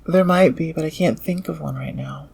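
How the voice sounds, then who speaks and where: An actor as a gravelly, awkward engineer thief. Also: medium pitch, normal speed, a little mellow. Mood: dry, pensive. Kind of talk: audio roleplay acting. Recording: clear background ambience noise.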